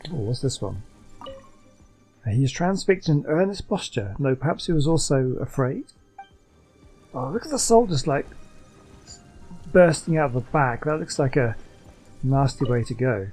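A man hosts a casual gaming video in a medium-pitched voice. He thinks aloud and asks himself questions, with faint game music underneath. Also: very animated, unhurried, pauses often, a little mellow. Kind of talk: casual gameplay commentary.

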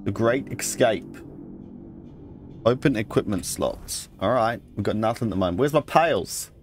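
A man's voice: on the low side, expressive, measured pace. A man hosting a let's play, casually thinking aloud and reading game text as he goes. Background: game music.

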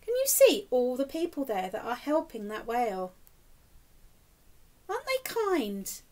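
A woman with a high, very lively voice reads a picture book for small children. She takes her time and exaggerates her expression like a teacher at storytime, encouraging the listeners. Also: a little slow. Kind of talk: animated children's storytime reading with questions to the listeners. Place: small indoor room, plain wall.

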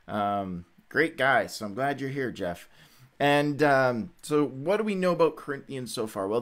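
A middle-aged man speaks in a medium-pitched voice to his livestream audience. His delivery is casual and conversational, in loose stop-and-start phrases, as he leads a devotional session. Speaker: host of a Bible read-along livestream.

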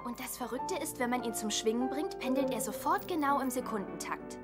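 A woman's voice: high-pitched, animated, fairly quick. A woman playing a confused, earnest teenage schoolgirl heroine in an anime audio drama. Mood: fond. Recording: long reverb, music underneath.

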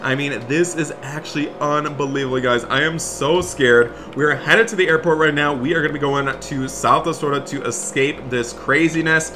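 A man doing excitable, melodramatic roleplay gameplay commentary as a gaming video host, full of repeated exclamations. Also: on the high side, normal speed, few pauses, over music. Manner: breathless, melodramatic, repetitive exclamations.

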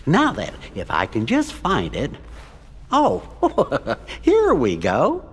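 A man's voice: a little high, takes it slowly, full character voice. A man voicing a tiny, fussy, genteel male cartoon cricket who keeps records, in a video game. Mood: pleased, confident. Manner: precise, genteel, expressive. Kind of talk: video game cutscene voice acting. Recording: long hall reverb, music behind.

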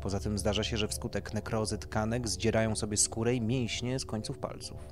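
A man's voice: on the low side, fairly even intonation, fairly quick, lightly acted. A man voicing a polite, tactful male doctor who explains procedures clinically in an audiobook. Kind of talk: audiobook character dialogue.

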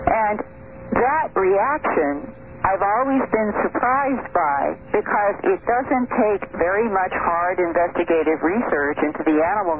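A woman's voice: lowish voice, slight background noise. Woman on a tinny phone line. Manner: earnest, measured, many "uh" pauses. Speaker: guest. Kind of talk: radio interview answers.

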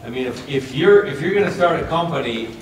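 A man in his sixties speaks as a panelist at a conference, loud, blunt and plainspoken. He sounds set back from the mic in a very echoey room, with a faint hum of room noise underneath. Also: fairly high pitch, animated, fairly quick, far from the mic.

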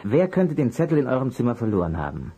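A man's voice: mid-range voice, very animated, quick pace, warm tone, slightly rough. A man playing a polite, easily flustered private detective in a German audio drama, courteous and hesitant. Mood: calm, patient. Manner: courteous, hesitant, apologetic under pressure. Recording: noisy, clicky vinyl transfer.

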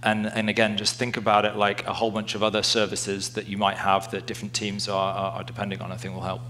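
A man's voice: on the low side, monotone, fast talker, bright, forceful tone, slight background noise. A man speaking on a conference panel, thoughtful and hesitant, with many restarts. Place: conference stage before an audience.

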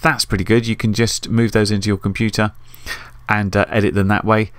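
A man's voice: fairly low pitch, level tone, fairly quick, a bit bright, no room sound. A man hosting a casual screen-recorded tutorial, thinking aloud informally as he works. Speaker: tutorial host. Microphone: USB desktop microphone.

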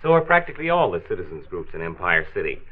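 Friendly patient county doctor, old 1940s radio drama, very up and down delivery, tinny phone-like sound. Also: male voice, low-pitched, sing-song, fast talker. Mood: friendly, explanatory. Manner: avuncular, explanatory. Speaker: health commissioner. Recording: tinny band-limited 1940s transcription disc.

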